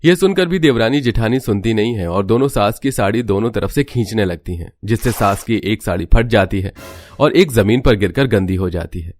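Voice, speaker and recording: Man, cartoon story narrator, low voice, steady scripted delivery. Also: moderate pace.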